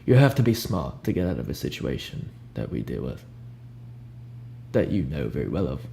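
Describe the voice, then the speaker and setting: A young man improvising a soft-spoken ASMR roleplay as a cool, ominous recruiter, talking in a casual, blunt, unhurried way over hiss and hum. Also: rumbling low, big pitch swings, gravelly. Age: young adult. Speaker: ASMR roleplayer playing a job interviewer. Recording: audible background hiss and hum.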